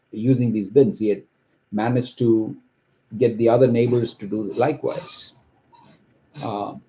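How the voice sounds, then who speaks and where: A middle-aged man from Kerala, India, who grew up speaking Malayalam, gives an earnest, halting account of his own story from his home office. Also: medium pitch, warm tone, rough recording. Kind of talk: personal testimony to camera.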